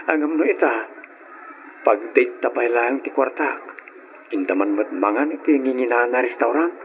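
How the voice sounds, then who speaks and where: Male actor as devoted, easygoing young Filipino boyfriend, mid-pitched, radio drama, dull recording. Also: a little slow, pauses often, close to an everyday voice. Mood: easygoing, sheepish. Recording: muffled, slightly noisy old radio recording.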